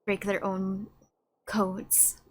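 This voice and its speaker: A woman's voice: mid-range voice, monotone, extremely slow. A woman giving casual, emotional, giggly reaction commentary on a video game. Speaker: gameplay video creator. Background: faint game music.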